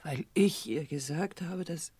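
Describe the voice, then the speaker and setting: An actress playing a guilt-ridden, bitter grown daughter in a radio play, with a low, husky, subdued voice. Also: female voice, unhurried, mellow, dry recording, full character voice. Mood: guilty, subdued. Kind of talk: German radio play acting.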